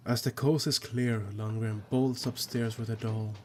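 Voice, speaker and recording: Flat-voiced man narrating. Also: medium pitch, measured pace, a little hiss or hum. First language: Swedish. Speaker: YouTube film analyst. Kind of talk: scripted narration. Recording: voice-over with guitar music behind.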